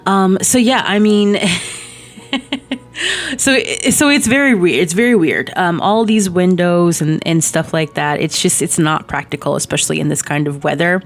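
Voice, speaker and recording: A woman in her thirties, hoarse from a cold, chatting casually in a rambling way with lots of ums and little laughs as she narrates a game build. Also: on the low side, a little slow. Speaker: Sims speed-build creator. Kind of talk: casual voice-over chat over gameplay. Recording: soft background music.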